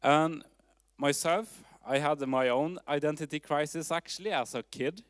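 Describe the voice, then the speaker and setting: A man's voice: fairly high pitch, clear, resonant tone, dry recording, clean studio sound. Norwegian-accented man giving a talk. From Norway. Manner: measured, with frequent fillers and restarts. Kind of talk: presentation talk. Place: town hall forum.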